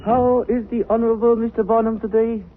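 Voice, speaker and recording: An actor playing a suave, menacing smuggler in a 1940s radio drama, speaking with formal, ornate politeness. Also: male voice, very high voice, little pitch movement, a little fast. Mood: courtly, smooth. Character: suave, menacing male smuggler of narcotics with courtly speech. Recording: tinny, band-limited 1940s radio recording.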